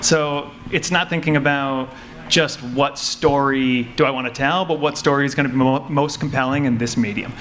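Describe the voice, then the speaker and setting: Slight background noise, man, medium pitch, panelist, measured explanatory talk, thinking aloud. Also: steady tone, a bit bright. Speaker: panelist, audio drama creator. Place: convention panel room. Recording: noisy live convention room.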